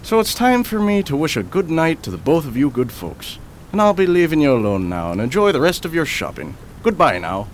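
Man acting a high-voiced, hearty, courteous policeman. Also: very animated, a little fast, slight background noise. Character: cheery, courteous, folksy 1920s New York policeman. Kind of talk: radio drama acting, 1920s period piece.

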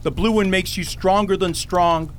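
Eccentric wordy king male actor, higher register, grandiose fantasy radio theater style, noisy recording. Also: fairly even intonation, normal speed, natural voice. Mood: earnest, self-important. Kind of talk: fantasy radio drama acting.

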